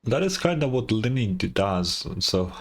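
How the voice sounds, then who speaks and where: A man's voice: lowish voice, big pitch swings, moderate pace. A man doing casual live reaction commentary as a game streamer, blunt and sarcastic. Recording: game music behind the voice.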